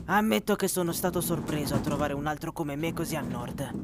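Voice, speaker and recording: A man playing a courteous bird-folk hunter in a fantasy audio drama, speaking in a measured, respectful way. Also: fairly high pitch, animated. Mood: calm, respectful. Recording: loud music behind.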